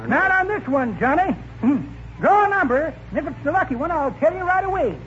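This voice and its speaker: An actor playing an excitable, pushy old man in a 1940s radio comedy, talking in a folksy, familiar, exclamatory way. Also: very high voice, fairly quick. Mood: eager, folksy. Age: older. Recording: dull, noisy 1940s radio recording.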